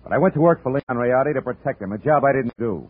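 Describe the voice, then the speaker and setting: An actor playing a dry, wisecracking hard-boiled private detective who also narrates, in a 1950s radio drama. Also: male voice, medium pitch, lively intonation, fast talker, husky. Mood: wry. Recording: tinny old radio broadcast, faint music.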